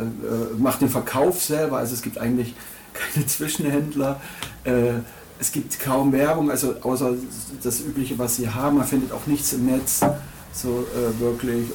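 Hesitant, mellow-voiced man in an echoey room. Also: mid-range voice, a little hiss or hum. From Hamburg, Germany. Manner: hesitant, many fillers and repeated words. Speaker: tour manager and label owner, guest. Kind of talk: interview answers. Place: record shop studio.

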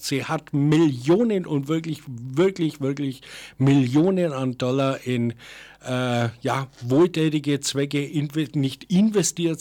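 An older man giving an informal, unscripted monologue as a presenter, halting and digressive, thinking aloud and correcting himself as he goes. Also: on the high side.

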